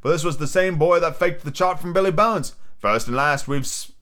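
A man's voice: fairly high pitch, moderate pace, fairly bright tone, pitched above the performer's own voice, close to an everyday voice. A man voicing a domineering old pirate sea-cook captain with swaggering, threatening seafaring talk. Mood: gruff, insistent.

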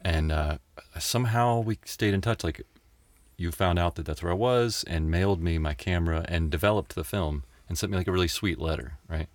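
Middle-aged American man, low register, dry wit, relaxed conversational storytelling as a guest. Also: fairly quick, no room sound. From Tennessee and Mississippi, United States. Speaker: interview guest.

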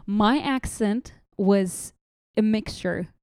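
A woman's voice: medium pitch, slow, a little mellow. A young woman from Saudi Arabia, chatting casually as an interview guest, with many fillers and restarts.

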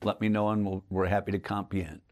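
A man's voice: fairly low pitch, little pitch movement, fast. A man in his late sixties, a magician guest on a podcast, chatting and telling rambling stories with frequent laughs. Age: 60s. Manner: chatty, rambling storyteller who laughs often. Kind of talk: casual conversational interview.

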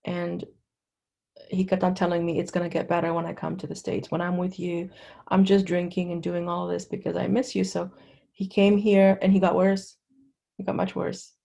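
A middle-aged woman from Lebanon giving candid personal testimony as an interview guest, in a halting, conversational way. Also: on the low side, level tone, gravelly, dark tone.

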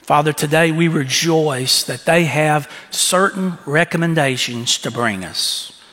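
A man's voice: a little high, measured pace, sharp tone. Earnest male pastor praying in church. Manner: earnest, prayerful. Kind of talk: congregational prayer. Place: church sanctuary. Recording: long church reverb.

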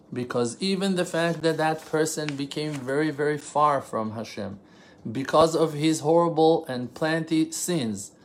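Israeli man giving an earnest religious lesson. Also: fairly high pitch. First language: Hebrew. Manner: earnest, emphatic, rhetorical questions; halting, pauses and self-corrections while translating. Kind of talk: spontaneous religious teaching.

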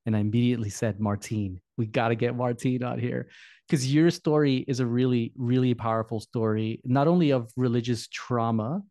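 A man's voice: mid-range voice, steady tone, moderate pace, mellow, no room sound. Friendly man hosting a podcast, dry sound. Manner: friendly, rambling, repeats words. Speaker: podcast host. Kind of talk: casual interview.

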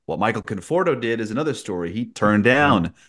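A man's voice: fairly low pitch, lively intonation, fairly quick, a bit bright. A man who works as a sports broadcaster, giving conversational sports analysis as a podcast guest.

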